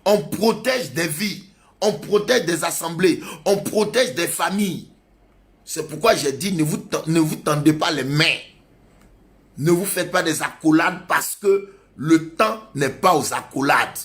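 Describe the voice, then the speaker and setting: Emphatic preaching man, fairly high voice. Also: expressive, measured pace. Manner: emphatic, repetitive exhortation. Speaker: pastor. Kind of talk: sermon.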